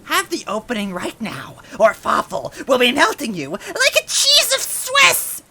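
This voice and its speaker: A man's voice: huge pitch swings, measured pace, background noise, heavily acted. A man voicing a furious small villain in a story, screeching and ranting in mangled, broken grammar. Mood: furious, impatient. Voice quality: raspy, screechy. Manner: shrill ranting with mangled foreign-sounding grammar.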